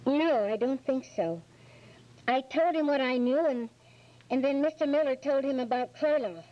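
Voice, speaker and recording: A woman's voice: sits high, normal speed, natural voice. A woman playing a polite, earnest young client in old-style radio detective drama, recounting events. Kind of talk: 1940s radio drama acting. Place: hotel function room stage, indoors. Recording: dull lo-fi videotape of stage performance.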